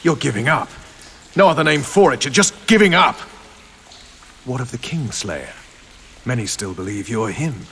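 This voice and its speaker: An actor playing a gruff, cynical military commander in a video game, blunt and sardonic. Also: male voice, medium pitch, lively intonation, normal speed, pauses often, a bit bright, a little hiss or hum. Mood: indignant, sarcastic. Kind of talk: video game cutscene acting. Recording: faint rain and ambience behind.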